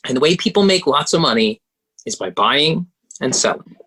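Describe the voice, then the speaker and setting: Man acting a persuasive banker, animated pitch swings. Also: moderate pace, breathy edge, soft background music, low-quality recording. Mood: persuasive, pressing. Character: pragmatic persuasive male banker advising a wealthy heiress. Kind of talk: musical table read, dialogue acting.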